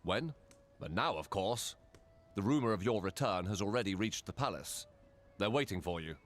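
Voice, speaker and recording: A man's voice: lowish voice, expressive, normal speed, natural voice. An actor voicing a wry male lord in a fantasy video game, with drawling emphasis. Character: wry, unhurried male lord greeting adventurers.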